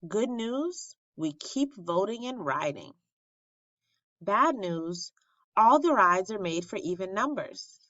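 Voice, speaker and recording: A woman reads a children's story aloud expressively, with dramatic pauses and emphasis on key phrases. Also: medium pitch, very animated, a bit bright. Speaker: storybook reader at an elementary school. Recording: roomy, slightly dull lo-fi recording.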